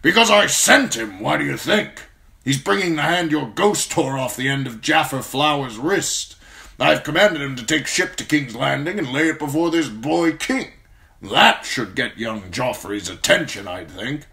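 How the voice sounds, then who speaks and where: Brief: male narrator puts on a gruff old commander, brusque and loud, pitched well above his own gravelly voice. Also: expressive, measured pace, heavily acted. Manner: brusque, barking orders. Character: gruff old man, blunt commanding military lord.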